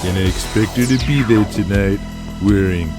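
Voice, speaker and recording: A man's voice: lowish voice, moderate pace, natural voice. A man playing a cocky, lewd pro-wrestler who talks in a bossy, boastful way in an audio drama. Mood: cocky, menacing. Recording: loud music behind.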